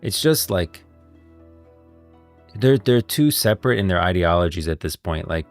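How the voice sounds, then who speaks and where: A man's voice: deep voice, expressive, moderate pace. A man giving casual, enthusiastic livestream commentary on game lore, speaking emphatically and in slangy language. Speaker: live streamer, lore commentator. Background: music.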